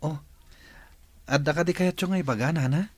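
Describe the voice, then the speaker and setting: A man's voice: fairly high pitch, moderate pace, no room sound, close to an everyday voice. An actor playing a dutiful young man in a radio drama, earnest and respectful. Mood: questioning.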